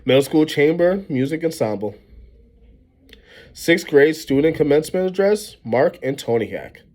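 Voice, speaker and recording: A man's voice: a little high, moderate pace. Man reading a children's novel aloud. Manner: animated, acts out dialogue. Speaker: reader reading a book aloud.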